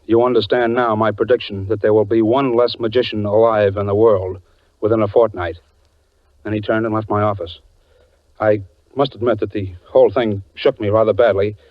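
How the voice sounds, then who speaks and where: An actor playing a sober, shaken man who recounts a strange story in measured 1940s radio drama narration. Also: fairly low pitch, no room sound, lightly acted. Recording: dull, dry 1940s radio recording.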